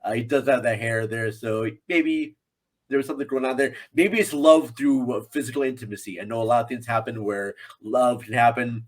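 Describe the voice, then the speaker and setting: A man's voice: mid-range voice, fairly quick. Chatty man as a rambling podcast guest. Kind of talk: casual film discussion.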